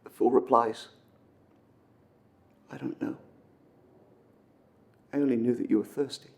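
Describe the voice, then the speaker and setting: A man acting a dramatic monologue as an earnest, wistful storyteller recounting an old legend, with intense pauses and swells. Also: mid-range voice, fairly even intonation, gravelly. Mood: tender, rising wonder. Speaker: amateur actor performing a film monologue.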